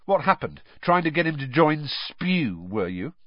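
A man's voice: fairly high pitch, big pitch swings, measured pace, dry recording, lo-fi sound, voice pushed up. A man voicing a sulky, jealous teenage boy who speaks scathingly and sneers at his friend.

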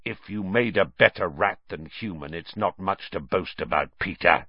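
A bitter, vengeful man, acted by a male narrator, harsh and snarling. His accusations swing widely in pitch with forceful emphasis, in the heated manner of a storybook drama, on a close, dry recording. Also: big pitch swings, a little slow, gravelly, husky, no echo, lo-fi sound, full character voice. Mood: cold contempt.

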